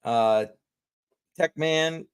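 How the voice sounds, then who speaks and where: A man's voice: a little high, very slow, smooth. Man, middle-aged, Massachusetts accent, easygoing, casual chat. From Southeastern Massachusetts. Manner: relaxed, chatty, drinking beer. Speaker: livestream host.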